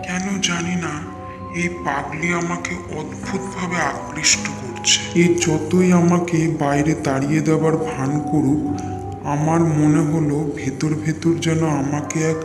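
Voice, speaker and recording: Guy playing a wary stubborn disciple in a horror cartoon, slightly high voice, complains and pleads, music behind. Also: level tone, unhurried, close to an everyday voice. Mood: curious, hopeful. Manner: pleading to others, muttering doubts to himself. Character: earnest Brahmin man seeking a guru, persistent, wary, complaining. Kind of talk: dramatised animated horror story acting. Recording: echoey reverberant voice over clear music.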